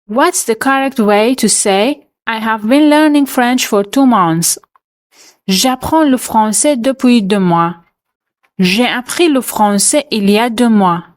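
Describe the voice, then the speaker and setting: Slow, measured woman reading a French quiz. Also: on the high side. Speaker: French teacher. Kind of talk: scripted quiz narration.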